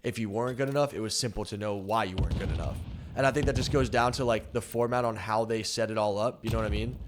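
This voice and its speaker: A man's voice: fairly low pitch, fast. Young guy streamer rambling casually, swears, says like a lot, slight hiss behind. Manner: loose and frank, heavy "like" fillers, swears. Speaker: streamer and pro team coach. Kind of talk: casual chat while gaming on stream.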